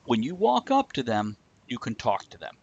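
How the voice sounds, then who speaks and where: A man's voice: medium pitch, huge pitch swings, moderate pace, gravelly, a little mellow, breathy edge, dry recording, lo-fi sound. A man hosting a live show in a casual, blunt, opinionated way, chatting back to viewers and swearing freely. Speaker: host of a live talk show. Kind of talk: casual chat, answering live viewer comments.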